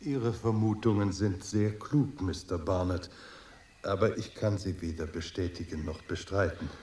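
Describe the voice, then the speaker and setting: A man playing a courteous, secretive monastery host in a German radio drama, formal, measured and guarded. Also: deep voice, a little mellow, breathy edge. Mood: politely evasive. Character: courteous, secretive Chinese lamasery host, serenely evasive.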